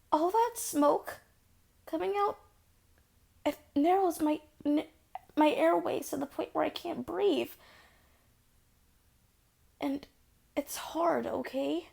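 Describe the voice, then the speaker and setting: High-pitched young woman, playing a girlfriend with asthma, audio roleplay, soft half-whisper, pleading. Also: takes it slowly, creaky, pauses often, exaggerated voice. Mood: tired scolding. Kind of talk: audio roleplay, spoken to the listener.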